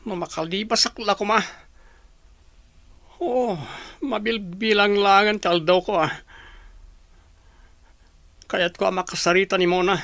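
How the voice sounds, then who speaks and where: An actor in a radio drama playing a remorseful condemned prisoner abroad, pleading and regretful. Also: male voice, high-pitched, fairly bright tone, dry recording. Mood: despairing. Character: remorseful man jailed abroad, awaiting execution, phoning an old love. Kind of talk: Ilocano radio drama acting.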